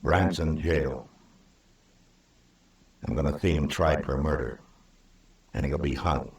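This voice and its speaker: An actor playing a grave, principled frontier marshal in an old-time radio western, speaking firmly and deliberately with an American accent. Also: male voice, a bass voice, vocal fry, full character voice. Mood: bitter, resolute. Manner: firm, deliberate, moralizing. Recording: roomy, distant vintage radio recording.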